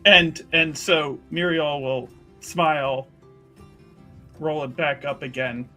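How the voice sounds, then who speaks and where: A man's voice: a little high, fairly even intonation, slow, sharp tone, soft background music. A man casually narrating his character's actions and bantering as a tabletop roleplaying player.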